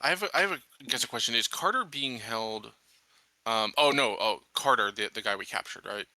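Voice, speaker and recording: A man playing a tabletop roleplaying game, improvising casually in a hesitant, halting way. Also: mid-range voice, animated, sharp tone, no echo, low-quality recording.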